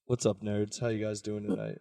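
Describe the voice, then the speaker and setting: A fairly low-voiced man hosts a loose, joking podcast from the seat of a parked car. He swears freely and cuts in over his guests. The sound is dry and close with no room around it. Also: big pitch swings, a little fast, vocal fry, warm tone, no echo. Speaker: podcast host. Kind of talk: casual chat.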